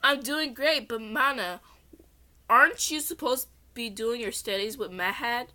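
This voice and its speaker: Storybook young male pharaoh, read by a woman: bright, composed, informal, fondly scolding. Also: a little high, measured pace. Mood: friendly, reproving.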